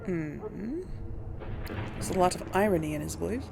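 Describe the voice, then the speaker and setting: A woman hosts a casual game playthrough. She talks slowly in a mid-pitched voice with animated intonation. Game noise is clear behind her. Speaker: gaming host. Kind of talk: casual let's-play commentary with in-character replies.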